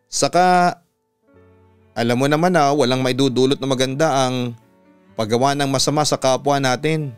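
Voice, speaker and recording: A man's voice: medium pitch, moderate pace, long pauses, smooth, no echo, faint music behind, natural voice. A Filipino man voicing a devoted, joking young boyfriend in a narrated story. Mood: reassuring.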